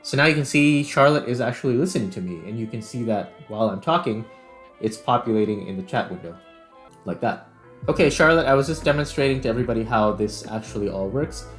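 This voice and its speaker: A man's voice: mid-range voice, lively intonation, fast. Male tutorial host, middle-aged game developer, casual delivery, music underneath. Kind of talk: casual screen-recorded tutorial narration.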